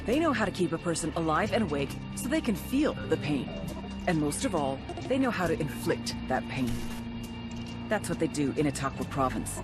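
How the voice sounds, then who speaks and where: An actress playing a driven, hard-nosed intelligence agent in a video game, terse and commanding. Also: female voice, low voice, very animated, normal speed. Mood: grim, storytelling. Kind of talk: video game dialogue and dramatic briefing narration. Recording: music behind the voice.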